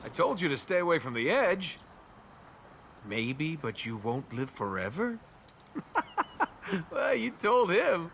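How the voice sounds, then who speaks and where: An actor playing a cocky, wisecracking young adventurer in a video game, with flippant, joking delivery. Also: male voice, on the high side, very animated, slow pace, creaky, long pauses, a bit bright, slight background noise, exaggerated voice. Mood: mocking, excited.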